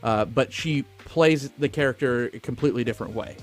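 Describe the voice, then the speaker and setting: A man's voice: medium pitch, fairly quick, soft background music. A man co-hosting a podcast, chatting casually and swearing freely as he talks about films. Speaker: film podcast co-host. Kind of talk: casual film discussion, profane.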